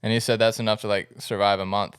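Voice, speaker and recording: A man's voice: fairly low pitch, level tone, fast, a bit bright, smooth, no room sound. A man appearing as a podcast guest, talking casually in a laid-back, joking way. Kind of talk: casual chat.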